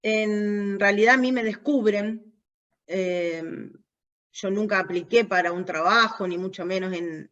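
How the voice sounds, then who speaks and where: A woman's voice: mid-range voice, fairly bright tone, lo-fi sound. A woman from the United States whose first language is English, speaking Spanish with an Argentine accent as an interview guest, reflective and often restarting her sentences. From United States (New Jersey). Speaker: guest, aviation safety specialist. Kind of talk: conversational interview.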